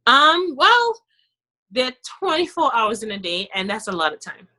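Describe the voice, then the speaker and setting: A woman's voice: fairly high pitch, big pitch swings, normal speed, pushed and bright. A woman with a Trinidadian accent, a podcast guest talking in a casual, emphatic way, often adding "you know". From Trinidad and Tobago. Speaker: podcast guest, dentist and author. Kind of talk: casual interview chat.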